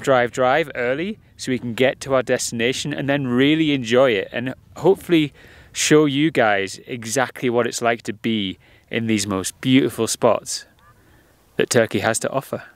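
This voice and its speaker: A man's voice: medium pitch, unhurried, no echo. Chatty young man vlogging beside a van. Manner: chatty, explanatory. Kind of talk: casual travel vlog to camera. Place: outdoors by a van. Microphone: handheld camera at arm's length.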